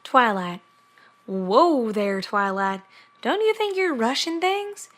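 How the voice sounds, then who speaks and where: A woman's voice: on the high side, lively intonation, slow pace. A woman voicing a blunt, down-to-earth female farm pony who speaks plainly and urgently in an audio drama letter reading. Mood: concerned, firm.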